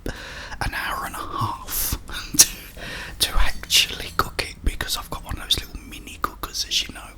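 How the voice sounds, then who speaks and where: Whispering British man, ASMR style. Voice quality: breathy unvoiced whisper. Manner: casual, chatty, rambling with slow pauses. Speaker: ASMR video host. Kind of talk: ASMR whispered eating ramble.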